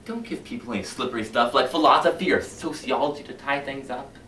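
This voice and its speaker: A man performing a cynical middle-aged fire chief in a competition monologue, lecturing his firemen with sarcasm and swinging between loud and hushed delivery. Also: medium pitch, quick pace, natural voice. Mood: sardonic. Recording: echoey hall heard from far off, faint hiss.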